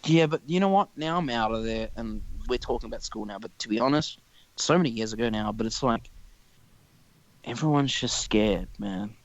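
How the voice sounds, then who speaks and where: A man's voice: medium pitch, expressive, normal speed, dull audio. A young man hosting a podcast, chatting casually in a rambling way and swearing freely. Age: young adult. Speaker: podcast host. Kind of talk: casual chat.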